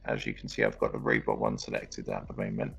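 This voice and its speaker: A very deep evil robot narrates a tutorial. The voice is mechanical and matter-of-fact, dropped far below natural speech. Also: male voice, flat delivery, quick pace, vocal fry, slightly rough, soft background music, heavily acted. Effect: robot voice changer.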